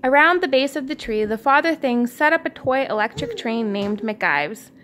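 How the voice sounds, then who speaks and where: A woman's voice: sits high, big pitch swings, normal speed, a bit bright. A mother reading a storybook aloud to her young child at home, in an encouraging way. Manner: encouraging, asks the child questions. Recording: home recording with faint background noise.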